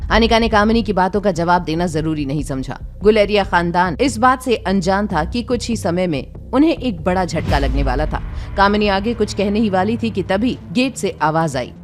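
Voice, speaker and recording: A woman narrates a serial drama in a scripted, dramatic style. Her voice is of medium pitch, and music is clearly present behind her. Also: animated, moderate pace.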